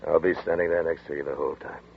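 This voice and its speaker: An actor playing a steady, protective adult male friend in a 1940s radio drama, speaking in a reassuring, matter-of-fact way. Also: a bass voice, very fast, a little hiss or hum. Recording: tinny lo-fi 1940s radio broadcast.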